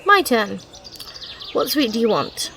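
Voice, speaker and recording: A woman's voice: on the high side, very animated, unhurried, vocal fry, bright, forceful tone, a little hiss or hum, full character voice. A woman playing a teasing, grudgingly fond thief with a dry, mock-impatient manner in an intimate ASMR roleplay. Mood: teasing, indulgent.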